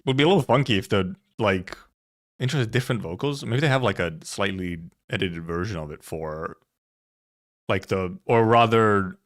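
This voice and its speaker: A man live streaming in casual chat, rambling and haltingly thinking aloud, with some offhand swearing. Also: lowish voice. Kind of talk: casual chat, reacting to viewers.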